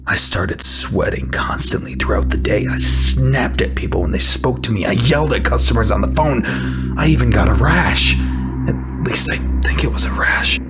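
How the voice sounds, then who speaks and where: A man voicing a neurotic, brooding office worker in a dramatic first-person horror narration, deliberate and often dropping to a breathy half-whisper. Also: mid-range voice, animated, natural voice. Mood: tormented, resentful. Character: neurotic male office worker unraveling over other people's noises. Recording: loud music under the voice. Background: music.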